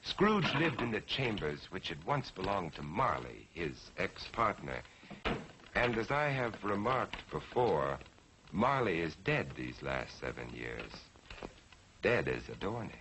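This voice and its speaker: A man's voice: sits low, big pitch swings, unhurried. A man narrating a classic story in the dramatic storytelling style of 1940s television. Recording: faintly noisy old 1940s TV soundtrack.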